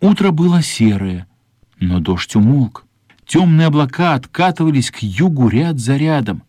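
Husky, expressive male storyteller. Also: medium pitch, normal speed, dry recording, clean studio sound. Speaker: narrator of a children's radio play. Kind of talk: scripted literary storytelling for children.

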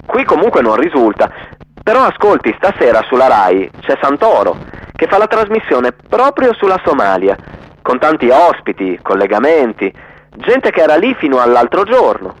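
A man voicing an apologetic but brisk official answering the telephone in a radio play. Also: medium pitch, wildly swooping pitch, rough recording. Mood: helpful suggestion.

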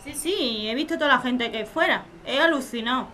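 A woman's voice: high-pitched, a little fast, bright, forceful tone, slight background noise. A woman playing a bewildered young mother facing eviction in a radio drama, anxious and full of questions.

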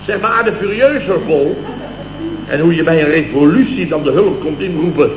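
An actor playing a blustering, sarcastic boss of a building firm in a vintage radio comedy, repeating himself indignantly. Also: male voice, on the high side, normal speed, music in the background, natural voice. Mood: bitter sarcasm. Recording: muffled, noisy, echoey old radio recording.

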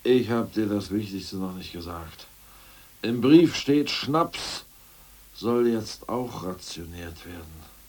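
An excitable disgraced official stuck in a cell in a radio drama. His low voice swings widely in pitch. He is scheming, hungry and irritable, moving between mutters and outbursts. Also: slow, slightly rough, exaggerated voice. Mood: agitated. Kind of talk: German radio play dialogue.

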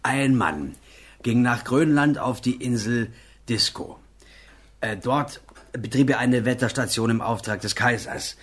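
An actor playing a simple, earthy old war veteran in a German radio play, rambling in a folksy, plain-spoken way. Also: male voice, medium pitch, unhurried, breathy edge. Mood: eager, defensive.

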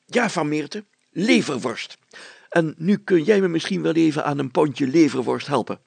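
A pompous, wheedling village mayor from a children's storybook, put on by a male reader. He blusters loudly in a raised, husky voice, doubling his phrases with grand exclamations. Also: on the high side, animated, moderate pace, no room sound, voice pushed up, exaggerated voice. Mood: flustered, pompous. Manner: pompous, exclamatory, repeats himself.

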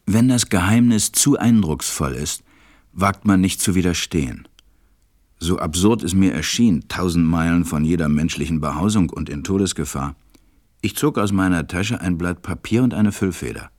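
A man playing a serious stranded pilot who narrates his story in a measured, deliberate way in an audio drama. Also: deep voice, expressive, a little mellow, no echo, studio quality. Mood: reflective, wry. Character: stranded adult male pilot, serious narrator recalling events.

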